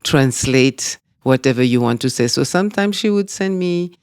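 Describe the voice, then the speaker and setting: A man with a French accent speaking as a guest in an interview, talking in a measured, reflective way. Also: medium pitch, animated, smooth, dry recording, clean studio sound. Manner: measured, reflective, with frequent "you know". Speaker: guest, dance school director. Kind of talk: conversational interview.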